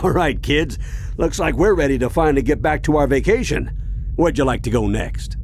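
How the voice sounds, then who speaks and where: An actor playing a protective, level-headed grandfather who leads the action in an animated video game, speaking with earnest authority. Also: fairly high pitch, moderate pace, slight background noise, lightly acted. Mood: relieved. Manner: commanding, earnest. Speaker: cartoon game character. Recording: loud music behind the voice.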